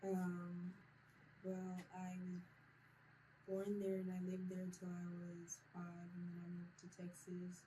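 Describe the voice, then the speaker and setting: A woman from Nigeria gives casual interview answers in a mellow, lowish voice. Her delivery is extremely flat, with hardly any rise or fall. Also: slow, low-quality recording. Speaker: vocalist and interview guest. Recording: light background room noise.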